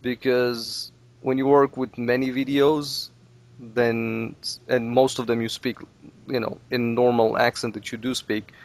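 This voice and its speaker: A man's voice: medium pitch, little pitch movement, measured pace. A man whose first language is Russian, a Let's Play video maker giving earnest advice in a casual podcast interview. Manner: earnest, advice-giving, peppered with "you know".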